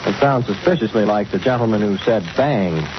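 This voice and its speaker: A man's voice: fairly low pitch, fairly quick. American male host on noisy old radio. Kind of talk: scripted, folksy 1940s radio hosting. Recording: noisy, muffled 1940s radio recording.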